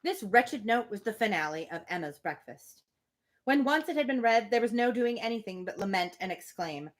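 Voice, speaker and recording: A woman's voice: fairly high pitch, animated, normal speed. Woman reading a novel aloud, chatting informally. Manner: informal, opinionated asides. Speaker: reader on a livestream. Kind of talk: live book reading with casual commentary.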